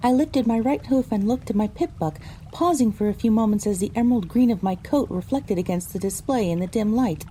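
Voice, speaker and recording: A female pony voiced by a woman tells her own story as scripted narration. The delivery is measured and descriptive, in a mellow, slightly high voice. Quiet ambient sound runs underneath. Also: slight background noise, natural voice, low-quality recording.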